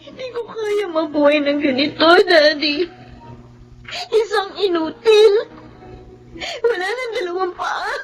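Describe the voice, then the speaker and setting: An actress playing a bitter, despairing young daughter in a Filipino radio drama, with a tearful delivery. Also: female voice, very high-pitched, unhurried, pauses often, low-quality recording. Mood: anguished, tearful. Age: young adult. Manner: tearful, anguished.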